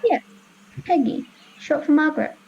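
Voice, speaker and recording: Young girl acting a bullied schoolgirl, higher-pitched, matter-of-fact, children's radio drama. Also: animated, moderate pace, a little mellow, dull audio. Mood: resigned. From Australia.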